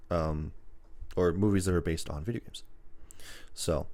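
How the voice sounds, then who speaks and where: A man hosting a podcast in a casual, rambling chat, listing things off as he goes. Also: sits low. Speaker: podcast host.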